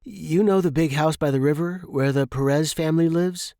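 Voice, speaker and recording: Man playing a gentleman explorer, raised pitch, flustered, earnest, kindly, exclamatory, storybook adventure, old-fashioned manners. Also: normal speed, no echo, studio quality, natural voice. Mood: reassuring. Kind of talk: storybook character dialogue.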